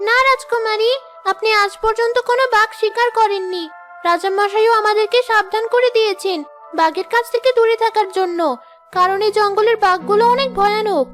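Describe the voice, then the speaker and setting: A woman's voice: very high-pitched, fairly even intonation, brisk, faint music behind. A woman voicing a cautious, deferential young maid to a princess in a cartoon story, with an anxious manner. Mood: cautioning. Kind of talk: Bengali cartoon story dialogue.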